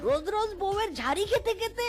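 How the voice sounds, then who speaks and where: Squeaky, mischievous cartoon fox voiced by a woman. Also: animated, fast. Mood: cheeky, pleased. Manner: cheeky, boastful, exclamatory. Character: mischievous, gleeful thieving male fox. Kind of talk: cartoon voice acting. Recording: music behind the voice.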